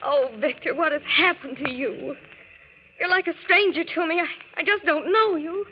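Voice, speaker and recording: A woman's voice: high voice, normal speed, lightly acted. A woman playing a distressed, imploring wife and mother in 1940s radio drama style, with a melodramatic, protesting manner. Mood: protesting, hurt. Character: distressed, pleading middle-class wife and mother. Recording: muffled, very noisy old radio recording.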